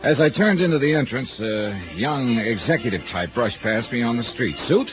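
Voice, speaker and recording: An actor playing a wry, worldly insurance investigator with clipped hardboiled delivery in a 1950s radio drama. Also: male voice, mid-range voice, lively intonation, normal speed, music in the background, natural voice. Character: wry, worldly male freelance insurance investigator narrating his case. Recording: muffled, band-limited 1950s radio recording.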